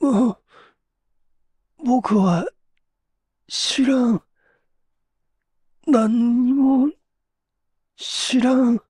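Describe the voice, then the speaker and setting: A man voicing an agitated, desperate accused man giving halting, strained testimony in a story reading. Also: very high-pitched, a little mellow, husky, no echo, studio quality, pitched above the performer's own voice, full character voice. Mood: dazed, faint.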